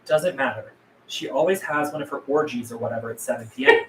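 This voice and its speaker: A woman's voice: very deep voice, lively intonation, quick pace, fairly bright tone. A woman playing a wary, blunt survivor who questions and negotiates, in a film script table read. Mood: wary, determined. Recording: roomy, echoey with room noise.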